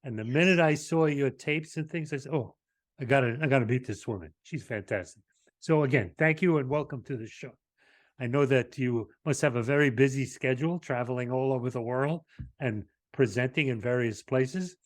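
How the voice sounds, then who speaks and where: A man's voice: mid-range voice, normal speed. A man hosting an interview show, speaking conversationally and effusively, repeating phrases as he goes. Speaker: talk show host.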